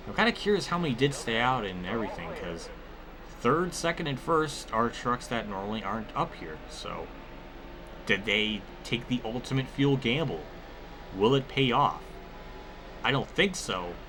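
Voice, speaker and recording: Over a steady layer of background noise, a man with a fairly low voice commentates a racing video game. He talks casually and loosely, restarting his sentences and throwing in exclamations as he goes. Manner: rambling, self-interrupting, exclamatory. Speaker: gaming video host.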